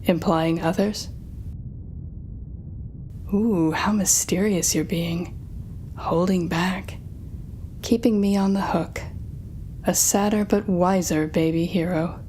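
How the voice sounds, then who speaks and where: A woman's voice: on the low side, steady tone, dark tone, a little hiss or hum. A woman playing a sardonic, smug telepathic supervillain, taunting and theatrical as she speaks to the listener in an audio roleplay drama. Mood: wry, teasing. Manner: taunting, theatrical, drawn-out teasing phrasing.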